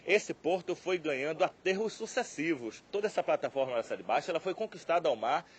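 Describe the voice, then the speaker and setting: A man speaking as an expert interviewee, explaining things thoughtfully with occasional pauses. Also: on the high side, lively intonation.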